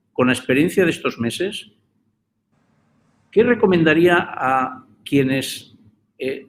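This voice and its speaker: A man moderating an online course, introducing a guest and asking him questions in a conversational way. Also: mid-range voice. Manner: conversational, with small restarts. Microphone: video call.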